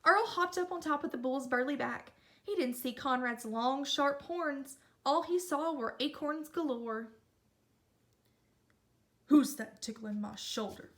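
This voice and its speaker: A woman reads a children's picture book aloud. Her voice sits high, and she narrates with expression as a storyteller. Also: measured pace, hardly any pauses, a bit bright. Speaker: storybook reader.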